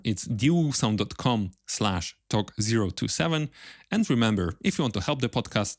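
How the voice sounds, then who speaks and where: A man hosting an interview podcast, speaking in a polite, measured way with formal phrasing. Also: mid-range voice, no room sound, studio quality. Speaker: podcast host. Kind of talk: interview, then podcast sign-off.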